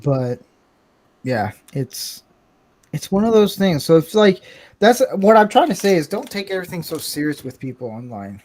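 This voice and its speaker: Male podcast host, blunt and rambling, self-mocking, voice sits somewhat high. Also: very animated, normal speed, darkish tone, slight background noise. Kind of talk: casual live-stream chat.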